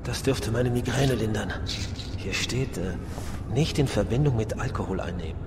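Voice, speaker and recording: A man's voice: on the low side, normal speed, heavy background noise, close to an everyday voice. An actor playing an anxious, secretive man in his thirties in an audio drama, muttering tensely and hesitating. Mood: shaken, brooding. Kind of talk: German audio drama acting. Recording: loud music under the voice.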